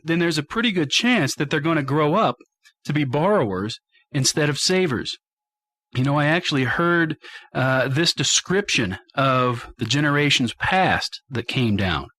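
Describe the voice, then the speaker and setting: A middle-aged American man hosting a talk radio show, speaking straight to listeners with deliberate, emphatic phrasing. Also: a little high, fairly bright tone. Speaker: radio show host and financial advisor.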